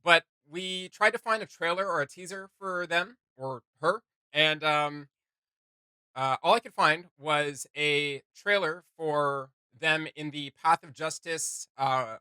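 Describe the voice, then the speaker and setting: Hyped guy reacting to a trailer, bright tone, dry close mic, keeps restarting. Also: fairly high pitch, slow, smooth, no room sound. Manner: rambling, excitable, full of restarts. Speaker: reaction video co-host. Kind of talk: casual reaction chat.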